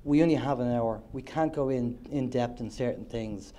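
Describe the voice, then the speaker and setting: A man's voice: mid-range voice, normal speed, warm tone. An Irish man, a co-host of an internet radio show, presenting on stage at a conference in a chatty, joking way, a little nasal from a cold. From Ireland. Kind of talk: presenting on stage to a live audience.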